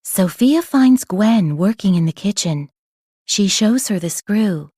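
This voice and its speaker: Lady narrating kids storybook, soft-edged husky voice, really animated, dry close sound. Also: medium pitch, takes it slowly, dry recording. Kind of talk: expressive read-aloud children's narration.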